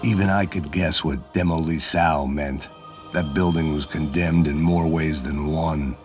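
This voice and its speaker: Very deep world-weary noir gunman. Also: a little slow, recorded from a distance. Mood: grim, resolved. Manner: bitter, deadpan, profane. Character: world-weary, cynical male gunman narrating his own bleak story. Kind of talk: noir first-person game narration. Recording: loud music over the voice.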